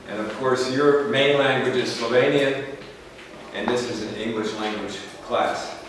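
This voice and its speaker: An American man giving a slow, explanatory lecture to a school class, often checking in with "okay?". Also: mid-range voice, a little hiss or hum. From United States. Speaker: English teacher. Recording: echoey classroom from far off.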